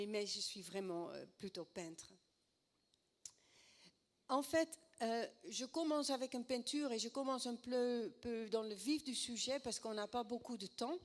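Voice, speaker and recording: A middle-aged Dutch woman with a sore throat giving a church talk and testimony in a hesitant, halting way. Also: a little high. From Netherlands. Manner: hesitant, many fillers and restarts. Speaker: guest speaker.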